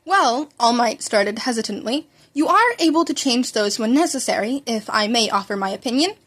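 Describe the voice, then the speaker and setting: A woman's voice: fairly high pitch, expressive, normal speed, a bit bright. A woman voicing an earnest adult male hero mentor, formal and hesitant, in a story reading. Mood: formal, approving.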